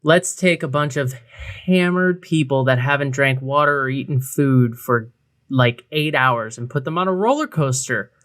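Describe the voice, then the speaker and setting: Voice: medium pitch, moderate pace, a bit bright. A podcast co-host chatting casually and comedically, with wry, sarcastic asides and slangy phrasing. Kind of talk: casual comedic chat about a beer festival.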